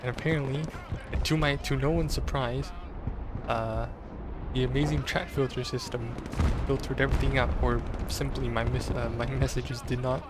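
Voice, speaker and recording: A man's voice: mid-range voice, fairly even intonation, normal speed, very noisy. A man casually commentating his own strategy game match, rambling with fillers, his voice strained and sore. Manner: rambling, many fillers and restarts. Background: game gunfire and explosions.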